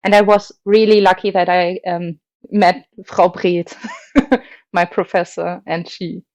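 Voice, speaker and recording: A woman's voice: fairly low pitch, steady tone, takes it slowly, a little mellow, dry recording. Dry-voiced woman, hesitant opera guest. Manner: thoughtful, hesitant, many fillers and restarts, laughs often. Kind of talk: casual interview chat.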